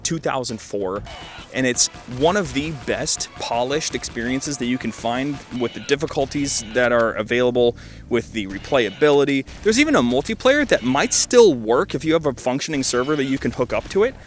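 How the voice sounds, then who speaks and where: A man's voice: mid-range voice, lively intonation, normal speed, soft background music. A man giving casual, enthusiastic video game commentary as a host, stressing points by repeating words.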